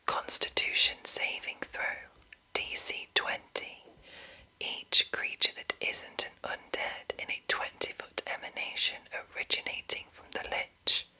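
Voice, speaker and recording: A woman whispering an ASMR reading in a breathy voice, deliberate and broken into short phrases with pauses. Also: bright, forceful tone, rough recording. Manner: slow, deliberate, pausing between short phrases. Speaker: ASMR host reading game monster entries.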